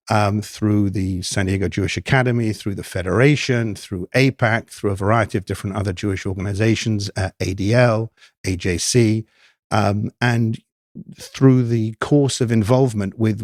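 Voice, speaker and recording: Older english guy on a podcast, lowish voice, thinks out loud w lots of ums, dry mic. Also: dry recording. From United Kingdom. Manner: thoughtful, measured, many ums and restarts. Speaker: guest. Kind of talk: podcast interview.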